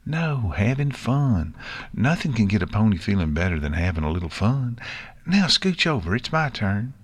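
A man's voice: lowish voice, very animated, moderate pace, husky. A man voicing a confident, kindly young farm pony filly with a folksy, reassuring way of talking. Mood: cheerful.

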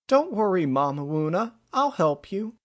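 A man's voice: high-pitched, big pitch swings, moderate pace, pitched above the performer's own voice. A man voicing a small, innocent, caring foal in a storybook reading, with babyish speech that turns r into w. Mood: comforting.

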